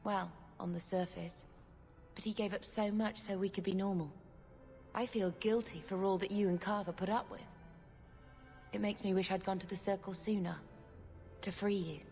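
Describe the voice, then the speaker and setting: A gentle mage sister played by an actress talks in a middle register with music behind her. She is earnest, reflective and caring, a fantasy game companion in a hall with long reverb. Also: mid-range voice, fairly even intonation, moderate pace, pauses often, natural voice. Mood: wistful, caring. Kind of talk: video game character acting.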